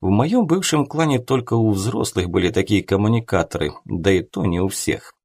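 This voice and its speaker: A man's voice: fairly low pitch, lively intonation, normal speed, darkish tone, husky. A man reading a scripted first-person story as an audiobook narrator.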